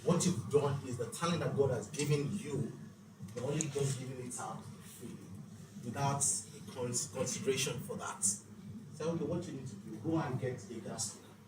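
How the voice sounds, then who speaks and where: A man's voice: mid-range voice, moderate pace. A man giving a motivational, faith-based teaching talk in an emphatic, preacherly manner, with rhetorical questions. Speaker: speaker leading a financial literacy leadership session. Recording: echoey room, distant mic, clear background noise.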